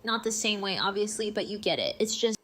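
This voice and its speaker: A woman's voice: mid-range voice, expressive, a little fast, a bit bright. An actress playing a shy, unsure teenage girl in an audio drama, talking in a hesitant, casual, understated way. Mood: casual, guarded.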